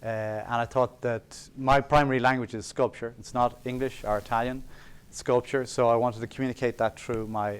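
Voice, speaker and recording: A man's voice: mid-range voice, smooth. A man giving a lecture in a college auditorium, speaking earnestly and reflectively about his sculpture, with self-deprecating asides. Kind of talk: public lecture on sacred art.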